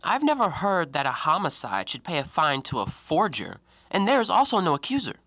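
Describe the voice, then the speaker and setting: A man acting in an audio play as an educated, coolly logical, self-righteous man who argues scornfully in precise, deliberate diction. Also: a little high, wildly swooping pitch, bright, forceful tone, no room sound, full character voice. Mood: wary, reasoning.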